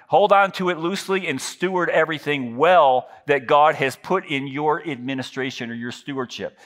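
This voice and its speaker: A man's voice: on the high side, fairly bright tone. A man in his fifties preaching a sermon on a church stage into a headset mic, talking in a loose, self-deprecating way with frequent restarts. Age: 50s. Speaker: pastor. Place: large church stage with lit backdrop.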